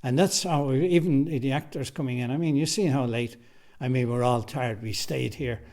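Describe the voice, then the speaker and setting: A man's voice: mid-range voice, moderate pace, a little mellow. An Irish man who writes and directs radio drama, answering interview questions casually and emphatically, often repeating his phrases, in a recording studio.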